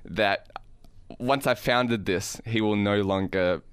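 A man's voice: on the low side, takes it slowly, smooth, dry recording. A man co-hosting a podcast in casual, comedic chat, telling stories in a joking, rambling way. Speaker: podcast co-host.

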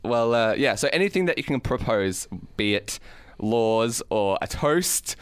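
Animated man hosting with wry banter. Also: mid-range voice, unhurried, clear, resonant tone, no room sound. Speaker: radio show host. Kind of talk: casual bantering chat.

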